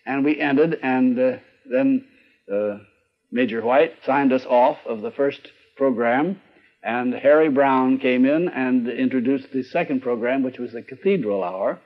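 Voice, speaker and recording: An older American man recalling his working days as a radio conductor, telling it in a halting, deliberate way. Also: mid-range voice. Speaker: radio orchestra conductor recalling early broadcasts. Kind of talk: reminiscing, interview-like recollection. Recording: slightly dull archival radio recording.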